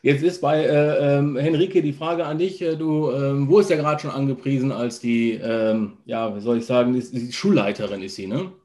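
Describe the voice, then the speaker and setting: Mellow-voiced male interview host. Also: medium pitch, moderate pace, few pauses. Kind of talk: casual interview questioning. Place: home room with shelves, indoors, video call.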